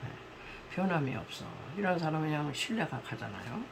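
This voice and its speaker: A male pastor preaching with a hoarse, gravelly voice, slightly higher than most men. He pauses often and fills the gaps with soft "uh" sounds. His throat is clearly rough from a cold. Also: sing-song, background noise. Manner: halting, with many fillers and pauses. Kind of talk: sermon with prayer.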